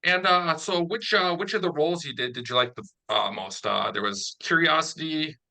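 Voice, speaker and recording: A man's voice: fairly high pitch, lively intonation, normal speed, creaky, pushed and bright. A man speaking conversationally as an interviewer and writer, explaining his ideas in an analytical way. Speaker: interviewer, audio drama writer.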